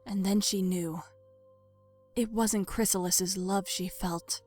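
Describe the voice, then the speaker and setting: A woman's voice: mid-range voice, steady tone, slow pace, darkish tone. A woman narrating a dramatic story from a script. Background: music.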